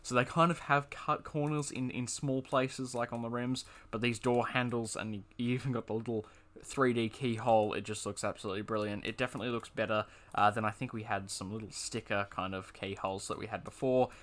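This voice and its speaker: A man's voice: mid-range voice, fairly even intonation, fairly quick, fairly bright tone. A young man giving casual, enthusiastic commentary while reviewing a car in a video game, with lots of fillers and repeated words.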